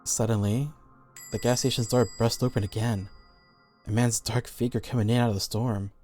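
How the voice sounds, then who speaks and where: A man's voice: medium pitch, fairly even intonation, moderate pace, darkish tone. A man reading a scripted horror story as the narrator of an audio play. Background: background music.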